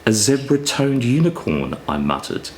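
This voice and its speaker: A man reading his own fiction aloud as an author, in a theatrical, deliberate storytelling manner. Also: mid-range voice, very animated, slight background noise. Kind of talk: expressive scripted story reading.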